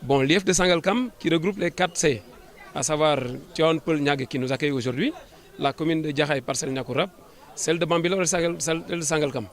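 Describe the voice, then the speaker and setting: Higher-voiced Senegalese man, formal and halting. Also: some chatter behind. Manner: formal administrative phrasing, halting with repeated words. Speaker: government official, sub-prefect. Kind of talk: press interview answers.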